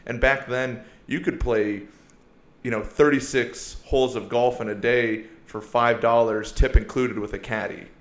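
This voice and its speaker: A young man in his twenties talks animatedly as a podcast guest, laughing easily and building stories with rhetorical questions. His voice sits at an ordinary pitch. The recording carries a noticeable roomy echo. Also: steady tone, unhurried. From born in South Korea, raised in Iowa and Asia. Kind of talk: casual chat.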